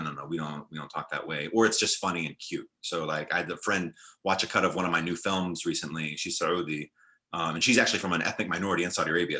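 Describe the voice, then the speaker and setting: A young man on a video call panel, talking in a relaxed, reflective way with many fillers and restarts. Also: sits low. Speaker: film director and panelist.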